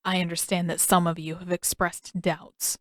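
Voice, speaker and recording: A woman's voice: on the low side, flat delivery, moderate pace, dry recording, lightly acted. Audiobook young prince, voiced by a woman: stern, formal, brooding, used to giving orders, dry close sound. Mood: stern, determined. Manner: formal, commanding. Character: stern, brooding teenage prince commanding his crew. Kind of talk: audiobook character voice.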